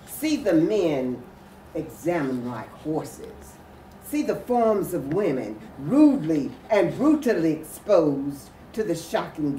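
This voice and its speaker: A woman's voice: lowish voice, dark tone. An older woman giving an emphatic, declamatory dramatic reading of a historic speech. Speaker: readers theatre performer. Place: furnished sitting room, indoors. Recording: roomy, distant mic with faint noise.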